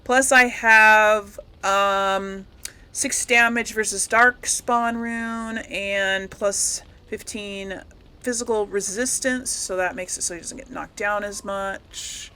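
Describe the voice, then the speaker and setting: A woman's voice: mid-range voice, steady tone, slow pace, pushed and bright, clear, resonant tone. A woman hosting a gaming video, giving casual, rambling commentary as she thinks aloud and corrects herself.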